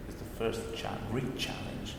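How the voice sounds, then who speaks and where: A middle-aged man with an Italian accent, a native Italian speaker and cantor, giving halting interview answers and searching for his English words. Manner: hesitant, searching for words in second-language English. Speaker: cantor and baritone singer, interviewee. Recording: echoey hall, distant mic, background noise.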